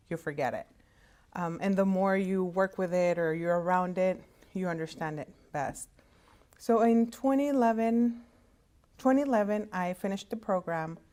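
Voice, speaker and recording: A woman whose first language is Spanish, giving a casual career talk to students in a conversational, halting way, over a video call. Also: fairly low pitch, darkish tone. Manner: conversational, halting with frequent fillers and restarts. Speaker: hospital interpreter services director. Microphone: video call setup.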